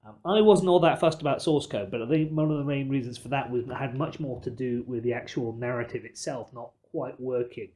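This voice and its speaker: A man with a mid-pitched voice hosts a film discussion podcast. He talks in a discursive, emphatic way, qualifying each point as he builds his case. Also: a little fast, hardly any pauses, a little mellow, slight background noise. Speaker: podcast host.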